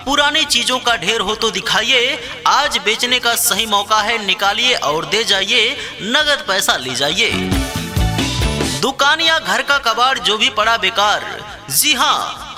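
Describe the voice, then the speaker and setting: A man delivers a scripted street hawker's advertisement in a high-pitched voice. He calls out short, repetitive sales phrases at a slow pace, like an announcement meant for a loudspeaker cart. Music plays clearly behind him. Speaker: street announcer for a scrap buyer. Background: background music.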